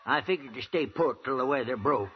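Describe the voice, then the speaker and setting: An actor playing an eager, toothless old American gold prospector in a 1950s radio western, with a gravelly, cackling voice and a folksy, rambling drawl. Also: male voice, mid-range voice, expressive, a little fast, close to an everyday voice. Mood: excited yarn-spinning. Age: older. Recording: muffled, hissy old radio recording.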